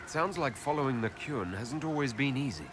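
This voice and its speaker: A man's voice: mid-range voice, expressive, normal speed, lightly acted. A man playing an inquisitive elf leader in a video game, asking questions politely and directly. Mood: curious. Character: male elf leader, inquisitive, diplomatic hero. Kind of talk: video game cutscene acting. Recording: game capture with loud ambient background noise.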